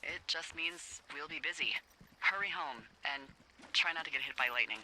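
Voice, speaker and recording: Bright-voiced, wry woman lookout boss. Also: low-pitched, normal speed, a little hiss or hum, rough recording. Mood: teasing. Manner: playful, sarcastic, hesitant when earnest. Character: wry, teasing adult woman, fire lookout supervisor. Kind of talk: naturalistic video game dialogue.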